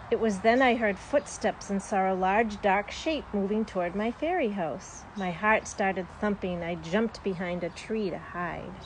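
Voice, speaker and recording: A woman's voice: medium pitch, background noise. A woman reading a children's story aloud expressively, drawing out words for young listeners.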